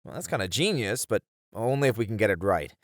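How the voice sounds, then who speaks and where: Man acting an animated, playful young-sounding coworker. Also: lowish voice, fast, no echo, studio quality, close to an everyday voice. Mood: impressed, unsure. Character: eager, playful male coworker on a horror game project. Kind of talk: ASMR roleplay audio drama.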